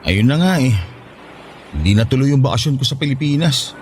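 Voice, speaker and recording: A man's voice: medium pitch, expressive, a little fast, darkish tone, slight background noise, natural voice. A man playing a Filipino ship worker who misses his family, speaking sincerely and emotively in an audio drama. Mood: dejected. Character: downhearted, grateful male Filipino ship worker missing family.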